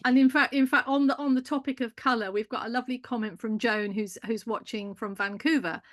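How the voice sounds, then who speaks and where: A woman co-hosting a live garden chat on a video call, animated and quick to laugh. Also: fairly high pitch. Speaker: co-host. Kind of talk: casual chat on a live stream.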